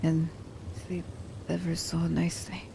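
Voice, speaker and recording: A woman playing a reserved assassin who is grudgingly tender, speaking hesitantly and softly in an ASMR romance roleplay. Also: on the low side, completely flat delivery, unhurried, gravelly, background noise. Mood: drowsy, tender. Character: reserved female assassin, grudgingly tender toward captive werewolf.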